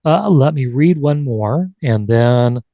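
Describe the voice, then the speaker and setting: A man's voice: mid-range voice, animated, takes it slowly, dark tone, no room sound, studio quality. A man hosting a live online panel in a conversational way, speaking over a video call. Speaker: podcast host.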